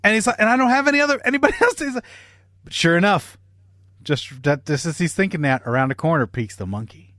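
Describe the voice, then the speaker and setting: A man's voice: high voice, lively intonation, fast, fairly bright tone, dry recording, studio quality. A man casually telling a long comic story, swearing freely and acting out bits of dialogue. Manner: profane, acts out quoted dialogue. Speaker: comedy podcast storyteller.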